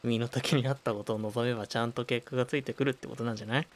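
A man's voice: medium pitch, fairly even intonation, rapid-fire, close to an everyday voice. A man playing a laid-back, slightly grudging friend with wry, offhand delivery in an amateur comedy radio drama.

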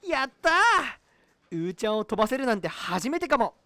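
Comedy radio drama role: excitable, smitten young man acted by a man, very animated intonation, dry recording. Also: sits high, brisk, no echo, heavily acted. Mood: elated. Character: boastful, lovestruck, excitable young male buddy.

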